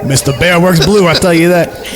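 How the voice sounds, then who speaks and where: A man's voice: on the high side, normal speed. A man bantering casually on a comedy podcast, riffing with profanity and slipping into mock voices. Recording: music behind the voice.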